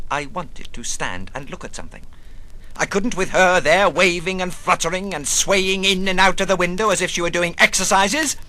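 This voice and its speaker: A brooding, eccentric man rambles through memories in a dramatic literary monologue. His voice is fairly high and forcefully bright, swinging theatrically between murmur and outburst with halting phrases. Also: heavily acted, rough recording. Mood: exasperated. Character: rambling, brooding, eccentric male narrator recalling his past. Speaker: reader of a literary prose monologue.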